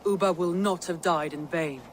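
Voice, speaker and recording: A cautious warrior advisor acted by a man for a game cutscene, with a high-pitched voice and earnest delivery. A faint noise lies underneath. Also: moderate pace, lightly acted. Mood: measured, earnest. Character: cautious, earnest warrior counsellor in a war band. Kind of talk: dramatic video game cutscene acting.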